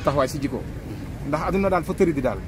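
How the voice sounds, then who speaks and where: Man, Senegalese accent, middle-aged, high voice, animated, street interview, handheld mic, traffic noise. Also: racing. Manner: animated, gestures with hands, mixes two languages. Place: outdoors on a busy city street by a wall. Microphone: handheld mic up close.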